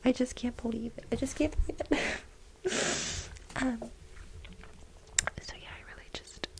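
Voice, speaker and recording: A woman's voice: fairly high pitch, steady tone. Woman acting a whispering, fawning office employee. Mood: gossipy, sympathetic. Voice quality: breathy, whispery, soft. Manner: hesitant, halting, nervous giggles, ingratiating. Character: fawning, gossipy female office employee sweet on her boss. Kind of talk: soft-spoken ASMR role play.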